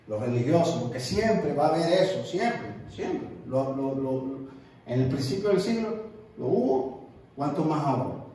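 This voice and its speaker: A man's voice: high voice, a little mellow. A man preaching a sermon as an evangelist, emphatic and repeating his words for stress. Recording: echoey room, distant mic.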